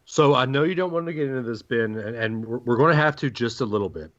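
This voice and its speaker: A man's voice: mid-range voice, lively intonation, fast, a bit bright, highs cut off. A man co-hosting a sports talk show, giving his opinions and putting questions in a conversational way.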